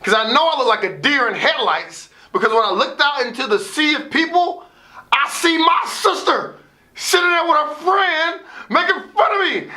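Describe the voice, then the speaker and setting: Man, American accent, high pitch, bright punchy tone, dramatic storyteller, emphatic repetition. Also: animated, normal speed. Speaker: motivational storyteller and student athlete advisor. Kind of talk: animated personal storytelling with acted-out dialogue and pep talk.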